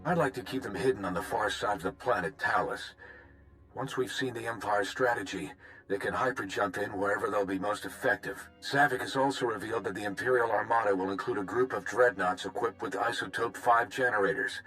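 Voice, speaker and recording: An actor playing a confident fleet admiral in a video game, giving a clipped, formal briefing. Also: male voice, lowish voice, a little fast, sharp tone, faint music behind, rough recording.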